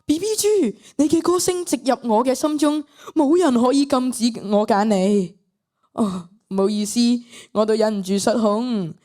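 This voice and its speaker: A woman's voice: fairly high pitch, moderate pace, warm tone, lightly acted. A teenage girl with a Hong Kong Cantonese accent playing a florid, over-polite online boyfriend in a student radio drama. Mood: gushing. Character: smooth-talking, florid, sugary online boyfriend.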